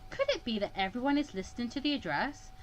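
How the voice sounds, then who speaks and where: A woman's voice: fairly high pitch, sing-song, fast, soft background music, poor audio. A woman hosting a casual let's play, reading a visual novel aloud and often tripping over words and correcting herself. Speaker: gaming video host.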